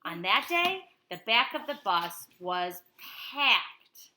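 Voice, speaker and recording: A woman reading a picture book aloud to children expressively, pausing to explain things simply to young listeners. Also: mid-range voice, very animated, bright, forceful tone.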